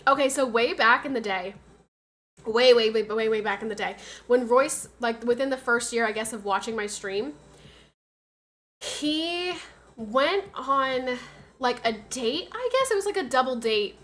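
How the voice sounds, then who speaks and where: A woman's voice: on the high side, lively intonation, measured pace, fairly bright tone. A young woman streamer chatting casually, her voice nasal and stuffy from a cold, rambling through stories with lots of fillers. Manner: rambling, filler-heavy storytelling. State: has a cold, stuffy sinuses. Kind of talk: casual livestream chat.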